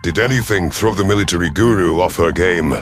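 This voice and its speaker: A man voicing a proud, vengeful elderly alien reptilian commander in an audio drama, speaking in a formal, measured, sardonic way. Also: sits low, faint music behind, natural voice. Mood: brooding. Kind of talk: audio drama first-person narration and dialogue.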